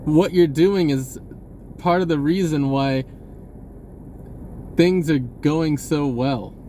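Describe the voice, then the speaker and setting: A man speaks in a loose, rambling vlog style. His voice sits a little high and moves very slowly, often stopping partway through a thought. A steady noise runs behind him. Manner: halting, pausing mid-sentence. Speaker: vlogger. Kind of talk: casual rambling monologue to camera.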